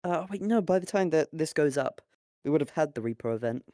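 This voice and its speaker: A man with a mid-pitched, very lively voice co-hosts an unscripted podcast. The sound is dry and close, and he chats casually with jokes and some swearing. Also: brisk, no room sound. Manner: joking, sweary. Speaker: podcast co-host.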